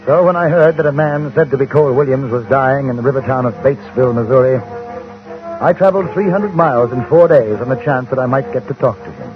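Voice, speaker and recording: An actor playing a composed newspaper correspondent in the Old West, grave and measured, in 1950s radio drama style. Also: male voice, mid-range voice, expressive, natural voice. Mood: grave, reflective. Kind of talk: 1950s radio drama, first-person narration. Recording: muffled old radio recording with music.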